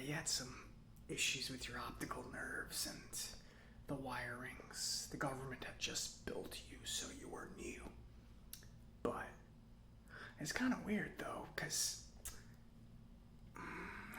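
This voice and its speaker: A man's voice: mid-range voice, takes it slowly, long pauses, roomy sound. Whispery, chatty mechanic played by a man. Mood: friendly welcoming. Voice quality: soft, breathy, often near whisper. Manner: rambling, hesitant, casual. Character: chatty, nerdy government robot mechanic named Jeff, friendly. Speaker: repair shop mechanic character. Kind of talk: soft-spoken ASMR roleplay.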